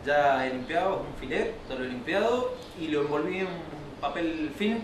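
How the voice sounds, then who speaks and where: A man's voice: on the high side, animated, normal speed. A man in his thirties with an Argentine accent, explaining steps as a chef in a cooking demonstration. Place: winery kitchen and open-air grill patio. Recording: roomy, distant mic, music behind.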